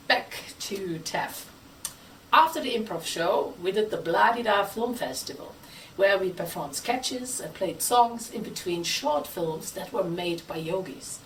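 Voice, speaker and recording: A woman talks in a chatty, playful presenting style with very lively pitch movement. She is recorded a little away from the mic, with faint noise behind her. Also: far from the mic, low-quality recording. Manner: animated, playful. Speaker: theatre group member presenting its history.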